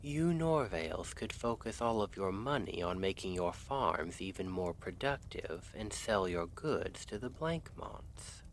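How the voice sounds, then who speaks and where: A man playing an earnest, lovestruck assassin from a noble house in an ASMR roleplay, speaking in measured, formal, hesitant phrases. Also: deep voice, lively intonation, slight background noise. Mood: earnest, cautious.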